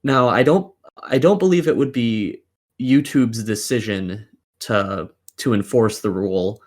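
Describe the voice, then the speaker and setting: A man's voice: mid-range voice, a little slow, darkish tone. A man co-hosting a casual podcast, giving opinionated commentary in a blunt, sweary, argumentative way. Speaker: podcast co-host.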